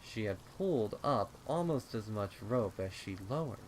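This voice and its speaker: A man's voice: on the low side, lively intonation, normal speed, a little mellow, slight background noise, rough recording. A man narrating a scripted horror story in a dramatic reading style. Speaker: fan fiction narrator. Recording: rain and storm sounds behind. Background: rain sound effects.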